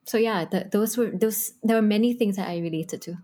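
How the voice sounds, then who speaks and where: A woman co-hosting a podcast, sharing her reflections in a thoughtful way with many pauses and restarts. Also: medium pitch, mellow. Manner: thoughtful, with frequent ums and rephrasing. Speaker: podcast co-host. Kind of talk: reflective discussion.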